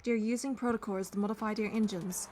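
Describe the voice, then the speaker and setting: A woman's voice: mid-range voice, steady tone, brisk, a little mellow, slight background noise, lightly acted. A woman voicing a young female hunter and bodyguard, narrating a romance game in an earnest, lightly teasing way. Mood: tense. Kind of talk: scripted first-person game narration and dialogue.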